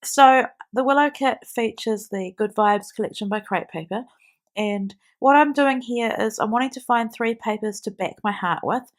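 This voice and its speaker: A woman's voice: on the high side, normal speed. A woman with a stuffy nose from a cold, chatting through a scrapbooking tutorial with frequent ums. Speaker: scrapbooking demonstrator. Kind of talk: voice-over craft tutorial.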